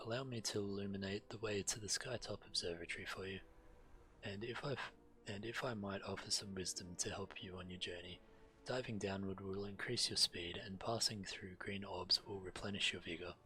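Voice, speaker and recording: Low-voiced man streaming a fantasy game. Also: fairly even intonation, normal speed, pushed and bright. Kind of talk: reading quest text aloud while playing. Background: fantasy game music.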